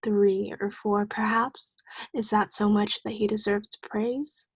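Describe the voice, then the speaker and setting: A young woman playing a solemn, probing spirit guide in a radio play, with a breathy, whispery voice. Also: mid-range voice, level tone, slow pace, no room sound. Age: young adult.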